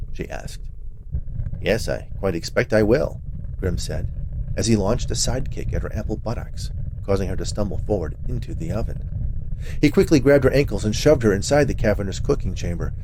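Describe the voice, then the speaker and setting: Low-voiced, animated male storyteller. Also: a little hiss or hum, poor audio. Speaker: author reading own story. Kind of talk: dramatic storybook narration.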